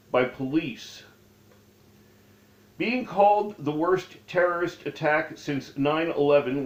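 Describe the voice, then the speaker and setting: A man reading aloud from his own memoir as its author, with deliberate, halting phrasing. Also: a little high. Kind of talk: read-aloud memoir narration. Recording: dull, echoey room recording.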